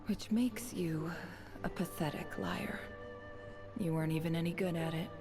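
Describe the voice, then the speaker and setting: An actress playing the bitter, self-possessed daughter of a desert chief in a video game, speaking in a deliberate, cutting, controlled way. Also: female voice, lowish voice, natural voice. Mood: scornful, resolute. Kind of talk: dramatic video game monologue. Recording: music behind.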